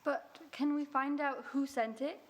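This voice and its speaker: Woman voicing an anxious assistant, high voice, earnest and worried, old-time radio drama. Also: steady tone, measured pace, slight background noise.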